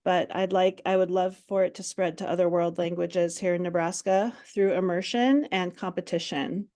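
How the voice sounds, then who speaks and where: A woman's voice: lowish voice, steady tone, moderate pace, dark tone. Woman in her forties, french teacher from minnesota, friendly online workshop talk, video call. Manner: friendly, chatty, many ums.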